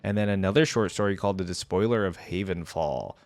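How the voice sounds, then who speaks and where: A man's voice: deep voice, fairly quick, no echo. A man hosting a reaction video in a casual, chatty way, reading things out and commenting informally. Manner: informal, reads out then comments.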